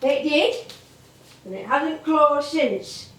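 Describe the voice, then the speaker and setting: An actress playing a feisty, nostalgic veteran music hall comedienne in a stage play, with a theatrical, performative storytelling manner. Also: female voice, sits high, slow, far from the mic, full character voice. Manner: animated, performative. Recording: roomy live theatre recording.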